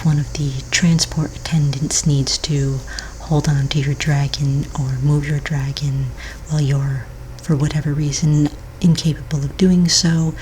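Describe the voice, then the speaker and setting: Casting: woman, low soft whispery voice, patient and polite office manager for ASMR roleplay, with background noise. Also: flat delivery, unhurried, rough recording. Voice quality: soft, breathy, mostly whispered. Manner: gentle, polite, reassuring clerical patter. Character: courteous, patient female office manager registering pets.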